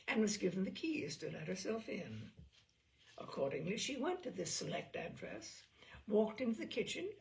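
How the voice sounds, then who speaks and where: A woman's voice: a little high, gravelly, low-quality recording. A woman reading a story aloud with dry, wry storytelling. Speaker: reader of stories.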